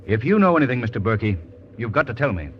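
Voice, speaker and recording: An actor playing an urbane, earnest male gentleman detective, in 1940s radio style. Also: medium pitch, big pitch swings, quick pace, close to an everyday voice. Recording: dull, hissy old radio recording.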